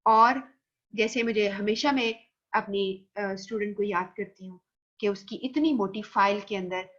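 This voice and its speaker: A woman's voice: mid-range voice, a little slow, fairly bright tone. A woman with a Pakistani Urdu accent giving a recorded classroom lecture, explaining patiently and slipping into English phrases. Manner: explains patiently, mixes English phrases, repeats "theek hai" checks. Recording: dull lo-fi recording.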